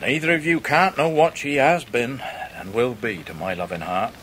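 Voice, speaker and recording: An actor playing a jovial, warm-hearted old fisherman uncle with an English rustic dialect in a radio drama. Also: medium pitch, normal speed, slight background noise, lightly acted. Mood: tender, proud. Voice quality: effusive, hearty. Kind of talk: full-cast radio drama acting.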